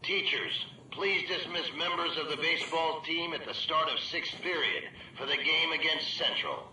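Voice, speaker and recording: A man's voice: a little high, fairly even intonation, normal speed, sharp tone. An actor playing a man reading formal school announcements over a public address speaker. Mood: matter-of-fact. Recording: echoey distant PA, faint noise.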